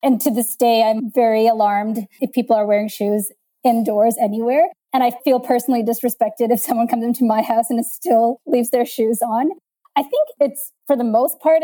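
A woman who grew up in South Africa talks earnestly and emphatically on a podcast. Her voice sits fairly high but has a mellow tone. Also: steady tone, moderate pace, poor audio. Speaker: podcast co-host. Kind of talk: casual podcast chat.

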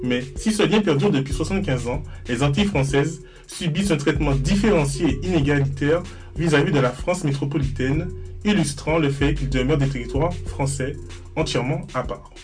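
A young man from Martinique hosts a student podcast with a measured, scripted delivery. His voice sits a little high for a man. Music plays clearly behind him. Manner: measured, reads a scripted intro then asks questions. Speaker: podcast host. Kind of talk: read introduction and moderating.

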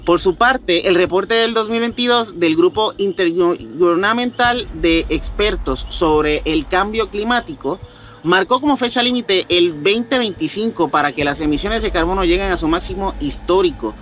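High-voiced man over clear background noise. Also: normal speed, fairly bright tone. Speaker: podcast host. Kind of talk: podcast talk, reading reports aloud and chatting.

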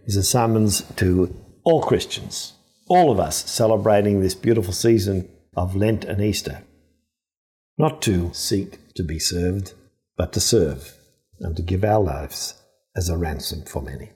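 An older man, a priest, giving a reflective scripture sermon in a deliberate, earnest way. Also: deep voice, a little mellow.

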